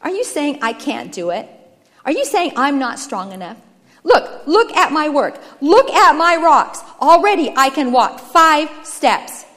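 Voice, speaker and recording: A woman's voice: on the high side, sing-song, normal speed, in a large hall. A woman voicing a guilt-ridden young man who is desperate and stubborn, speaking in an earnest, insistent, dramatic way. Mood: indignant, distraught.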